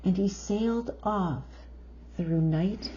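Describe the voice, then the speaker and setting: A woman reading a picture book aloud to children in an expressive, drawn-out storytelling manner, full of wonder. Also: medium pitch. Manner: expressive, drawn-out phrasing, gasps of wonder and questions to young listeners. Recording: dull lo-fi sound with faint noise.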